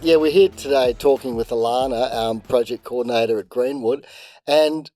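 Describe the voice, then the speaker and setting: A man's voice: medium pitch, moderate pace, warm tone, soft background music. A middle-aged man hosting a podcast interview, rambling and restarting his sentences. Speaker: podcast host. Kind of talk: casual interview chat.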